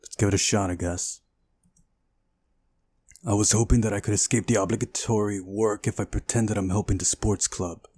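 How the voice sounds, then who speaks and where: A man's voice: on the low side, steady tone, moderate pace, pauses often, darkish tone, slightly rough, no room sound. A man reading a visual novel aloud during a playthrough, narrating the story and adding casual asides of his own.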